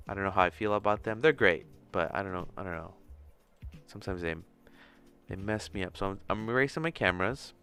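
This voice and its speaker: A man's voice: lowish voice, a bit bright, clean tone. A man hosting a casual tutorial livestream, talking himself through each step informally as he works. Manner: informal, self-talking, murmuring through steps. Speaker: livestream host, animator. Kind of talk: casual screen-share tutorial livestream, thinking aloud. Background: music under the voice.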